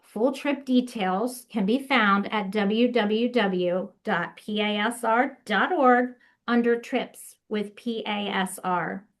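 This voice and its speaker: A woman presenting on a video call, reading prepared notes at an unhurried pace with occasional fillers. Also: medium pitch. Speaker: association staff presenter. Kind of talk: webinar presentation.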